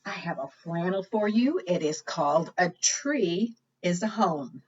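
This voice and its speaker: Woman, children's librarian, lowish voice, unhurried read-aloud, lively swooping intonation, questioning small children, dull lo-fi recording. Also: slightly rough. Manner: expressive, asks the listeners questions. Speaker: children's librarian leading preschool storytime. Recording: dull lo-fi sound.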